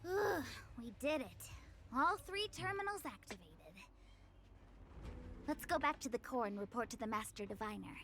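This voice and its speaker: A woman's voice: high voice, animated, moderate pace, a bit bright. A woman voicing a perky, eager and playful young companion in a video game. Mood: relieved, upbeat. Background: game music.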